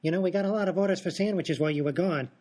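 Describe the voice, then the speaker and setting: An actor playing a pushy, opinionated sandwich-shop helper in a comedic radio play. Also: male voice, sits high, rapid-fire, a little mellow. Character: meddling chatty male sandwich-shop helper.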